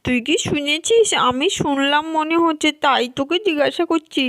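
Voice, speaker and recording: A woman's voice: high-pitched, normal speed, fairly bright tone, no room sound, clean studio sound. A woman voicing a caring, curious little village girl doll in a children's story, chattering in exclamations. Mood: puzzled, insistent.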